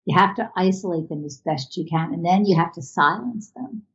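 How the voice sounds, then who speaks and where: A woman giving reflective, halting interview answers as an artist, recorded over an internet video call. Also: fairly low pitch, fairly bright tone, lo-fi sound.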